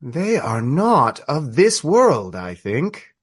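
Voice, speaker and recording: A man playing an old friar in a radio play, speaking in formal, ornate, deliberate phrases with gallant fondness. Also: on the high side, huge pitch swings, slightly rough, heavily acted. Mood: curious, probing. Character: courtly old Trappist friar, fond, wry, gallant storyteller.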